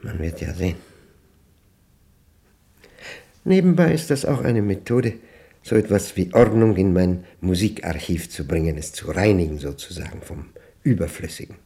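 Lonely, orderly male professor, standard German, low mellow voice, pedantic and reflective radio monologue. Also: lively intonation, vocal fry. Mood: dry, deliberate. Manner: pedantic, reflective, halting, self-questioning.

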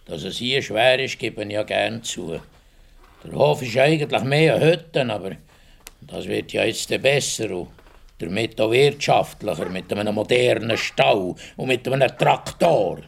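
Creaky-voiced Swiss mountain farmer. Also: male voice, lowish voice, expressive, measured pace, full character voice. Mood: calm, earnest. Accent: Swiss German. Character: simple, hopeful mountain farmer, husband and father. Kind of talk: radio play acting.

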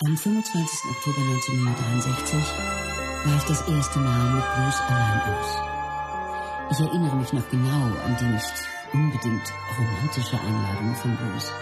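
A reflective woman remembers the man she loved, with loud string music behind her. Her delivery is measured, scripted memoir narration in a radio play. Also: very deep voice. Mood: reminiscing. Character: reflective wife remembering her martial artist love.

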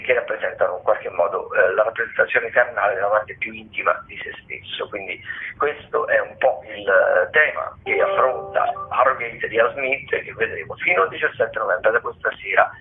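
A man, a theatre critic giving a radio listings rundown in a halting, rephrasing manner. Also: on the low side. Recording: thin tinny phone line.

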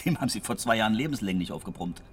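An actor playing a chummy, boisterous kiosk keeper and fixer who likes a drink, in a German radio drama. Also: male voice, on the low side, expressive, rapid-fire, slight background noise, natural voice. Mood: confidential. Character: jovial hard-drinking kiosk keeper, fixer old schoolmate.

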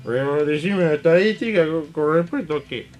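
High-voiced Rioplatense man chatting over music. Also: normal speed, a little mellow. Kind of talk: comic radio chat.

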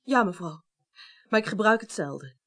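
An actress playing an evasive, flustered young woman suspect in a radio drama, halting and repeating words defensively. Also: medium pitch, expressive, fairly bright tone. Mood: panicked, defensive. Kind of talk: 1980s Dutch radio drama acting.

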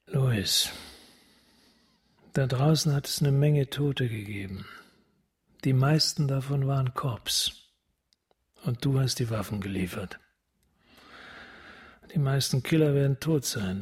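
An actor playing a weary, driven police detective in a radio drama, gravelly, terse and commanding. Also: male voice, medium pitch, measured pace, pauses often. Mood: hard, insistent. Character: hard-bitten male police homicide detective, weary and driven. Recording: loud guitar music behind.

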